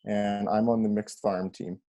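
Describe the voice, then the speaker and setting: Mellow young Canadian man. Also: fairly low pitch, little pitch movement, a little slow. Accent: Western Canadian. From Saskatchewan, Canada. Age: young adult. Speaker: student presenter. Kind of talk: presentation introduction.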